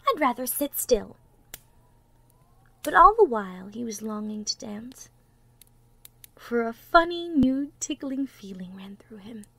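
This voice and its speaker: Expressive woman storyteller with animated pitch. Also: creaky, husky. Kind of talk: expressive children's storybook narration.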